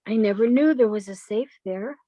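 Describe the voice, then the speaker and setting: A woman's voice: medium pitch, normal speed, mellow, no room sound, close to an everyday voice. A woman playing a resentful younger sister breaking free, cool and pointed in an old time radio drama style. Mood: curious.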